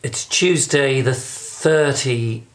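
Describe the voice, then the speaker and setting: A man from England with a hoarse, recovering voice, chatting casually in a rambling video diary. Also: mid-range voice, very slow. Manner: rambling, chatty, self-deprecating. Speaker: video blogger. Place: indoors at home and in a flat.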